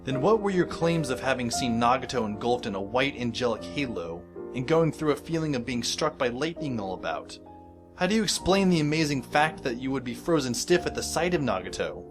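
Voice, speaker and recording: An actor playing a dry, sardonic teenage schoolboy who narrates an audio drama in the first person. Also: male voice, medium pitch, sing-song, a little fast, lightly acted. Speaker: narrator and lead character. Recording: music under the voice.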